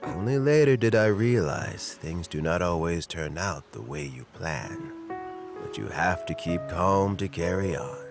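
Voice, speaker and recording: An actor playing a patient, fatherly old man who gives calm advice slowly. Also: low voice. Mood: calm, wise. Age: older. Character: gentle elderly man, patient mentor. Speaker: game character. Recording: game audio with music behind.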